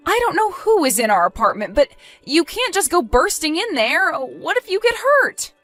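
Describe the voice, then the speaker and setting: A woman's voice: very high-pitched, very animated, moderate pace, a bit bright, soft background music, heavily acted. A woman playing a desperate, defensive cheating wife in an acted audio drama, pleading and evasive. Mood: urgent, worried. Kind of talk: acted audio story dialogue.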